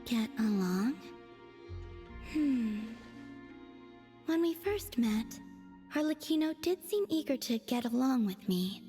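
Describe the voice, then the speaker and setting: A young game character with a lightly high voice. She is detached and wistful, pausing pensively in an understated way over soft music. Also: animated. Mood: matter-of-fact. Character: soft-spoken young woman, wistful, detached, quietly innocent. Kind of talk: video game voice acting.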